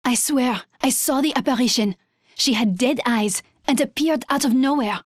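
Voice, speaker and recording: A woman's voice: high voice, normal speed, no room sound, clean studio sound. An actress voicing an earnest, eager young woman engineer.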